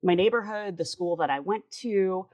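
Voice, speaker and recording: A woman's voice: medium pitch, normal speed, darkish tone, highs cut off. A woman in her forties from the Chicago suburbs, giving an earnest, articulate interview answer with emphatic repetitions. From Chicago suburbs, US Midwest. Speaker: interview guest, writer and editor.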